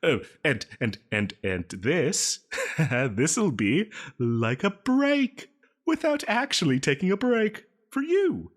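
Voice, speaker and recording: A man's voice: fairly high pitch, big pitch swings, slow pace, heavily acted. A man playing a needy, possessive supervillain in a roleplay audio drama, melodramatic and prone to giggles and stammers. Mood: nervous, eager. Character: male yandere supervillain, theatrical, needy, possessive, self-pitying, lovestruck.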